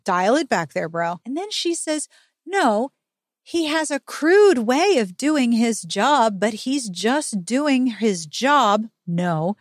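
Woman, podcast host, chatty recap style, animated, sarcastic, sweary, dry close sound. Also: fairly high pitch, no room sound. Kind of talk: chatty book recap with commentary.

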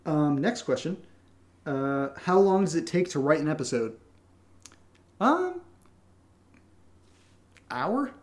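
A man's voice: on the high side, sing-song, takes it slowly, long pauses. A man co-hosting a casual question-and-answer chat, telling stories in a playful, self-deprecating way. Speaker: podcast co-host and audio drama writer.

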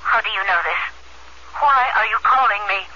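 A woman's voice: mid-range voice, normal speed, bright, forceful tone, dull audio. An actress playing a distraught, suspicious middle-aged mother on the telephone in a radio drama, agitated and indignant. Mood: suspicious, upset.

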